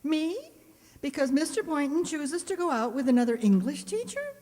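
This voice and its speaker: A woman plays a sardonic lady English teacher tossing off arch quips in a radio comedy. Her voice is high and animated, with a hall-like reverb around it. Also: very noisy. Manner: dry, arch, quick with quips. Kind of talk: old-time radio comedy acting.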